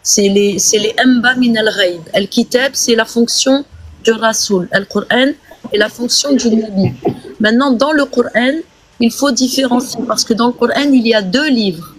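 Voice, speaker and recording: Woman, high voice, insistent lecturer in a heated live-stream debate. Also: moderate pace, low-quality recording. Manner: insistent, lecturing, repeats key points. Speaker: guest speaker in a live debate.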